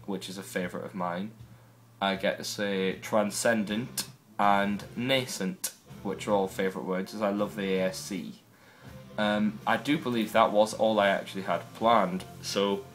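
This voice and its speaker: Brief: young Geordie man, low-pitched voice, casual wry vlogger, faint music underneath. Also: fairly bright tone. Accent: Newcastle Geordie. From North East England. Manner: chatty, wry, rambling asides. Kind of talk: casual first video blog.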